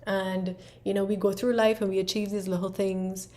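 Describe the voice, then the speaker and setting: A woman's voice: mid-range voice, moderate pace. A woman from Barbados with a Barbadian accent, casually walking viewers through her planner with lots of "um" and "right?". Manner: relaxed, many fillers and "right?" tags. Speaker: planner creator and presenter. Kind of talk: casual explanatory walkthrough.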